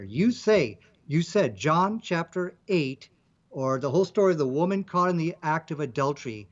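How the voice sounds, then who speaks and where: A man with a high voice answers an opponent in a debate, measured and keen to get back on topic. His audio is dull and lo-fi. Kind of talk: debate rebuttal.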